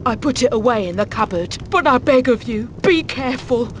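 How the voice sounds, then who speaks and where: A woman's voice: on the high side, expressive, brisk, noisy background, lightly acted. Frightened widowed innkeeper woman, as an actress voices her. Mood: fearful. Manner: fearful, pleading, drops to whispers. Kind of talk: game cutscene acting. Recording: voice with loud game music behind.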